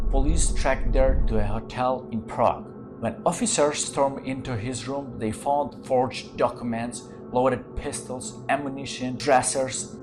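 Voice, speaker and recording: A man from Ukraine, hosting his channel and telling true-crime stories dramatically in English. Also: medium pitch. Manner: dramatic storytelling in non-native English. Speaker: channel host.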